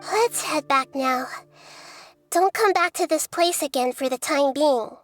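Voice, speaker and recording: A woman voicing a small, childlike goddess, gentle and wise, speaking in a measured, inquisitive way for a video game. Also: high voice, no echo. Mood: calm, thoughtful. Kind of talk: anime-style video game acting. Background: music.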